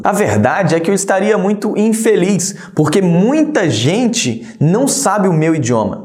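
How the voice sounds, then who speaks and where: A man's voice: a little high, a little mellow, reverberant. A man teaching an online sign language lesson, talking straight to the viewer in an encouraging, direct way. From Brazil.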